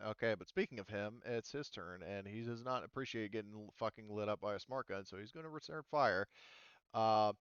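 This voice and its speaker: A man's voice: on the low side, a little fast, a bit bright, clear, resonant tone, dry recording, lo-fi sound. A man running a tabletop roleplaying game as game master, explaining rules and action casually with some swearing.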